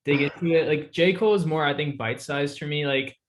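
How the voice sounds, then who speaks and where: A man's voice: on the high side, brisk, a little hiss or hum. A man interviewing a musician, easygoing and chatty, swearing casually.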